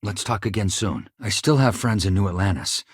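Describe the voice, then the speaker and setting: Weary, low-voiced stranded space engineer. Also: male voice, moderate pace, slightly rough, no echo, studio quality. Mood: calm, grateful. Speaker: companion character in a space game. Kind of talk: video game voice acting.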